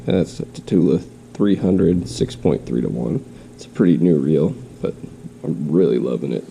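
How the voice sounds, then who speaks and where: Deep gravelly man with a stuffy nose. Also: lively intonation, moderate pace, warm tone, slight background noise. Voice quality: nasal. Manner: rambling, with restarts. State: stuffy nose, slight cough. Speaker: fishing vlogger. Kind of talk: casual show-and-tell. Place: home, in quarantine.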